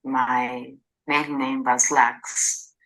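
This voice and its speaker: An older woman in her sixties from eastern Czechoslovakia answers in an archival oral history interview. She speaks slowly and deliberately, in a very low, flat voice with little rise or fall. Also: poor audio. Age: 60s.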